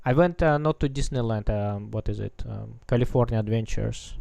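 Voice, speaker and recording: A man's voice: fairly low pitch, normal speed, gravelly, warm tone, no echo. A man in his thirties streaming game development from a home desk, chatting casually and hesitantly with many fillers. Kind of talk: casual live coding chat.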